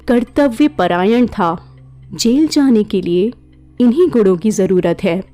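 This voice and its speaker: A woman narrating a classic short story in a measured, dramatic storytelling manner. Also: medium pitch, warm tone. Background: faint background music.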